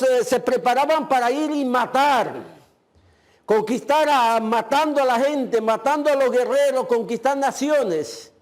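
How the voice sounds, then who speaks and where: Male pastor, very high voice, unhurried emphatic sermon delivery. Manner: slow, emphatic preaching with drawn-out phrases; uses the filler "este".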